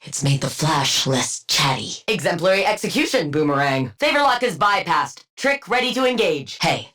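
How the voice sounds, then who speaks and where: A brilliant female scientist turned squad antihero for a video game. Her voice sits a little low and moves through a very animated range. She delivers combat callouts with clinical precision and a dry, bookish wit. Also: normal speed, soft background music. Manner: clinical, deadpan, wryly bookish.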